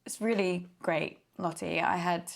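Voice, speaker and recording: A young woman acting a polite, anxious, hesitant character who probes gently in film dialogue. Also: on the low side, steady tone, measured pace, vocal fry. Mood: curious. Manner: hesitant, apologetic. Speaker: actor in an interactive murder mystery film.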